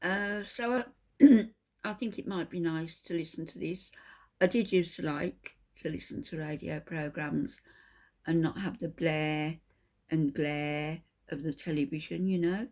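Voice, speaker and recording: A woman's voice: deep voice, fairly even intonation, measured pace, darkish tone. An elderly woman in her seventies or older, chatting casually and reminiscing as a host. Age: 70s or older. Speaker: channel host.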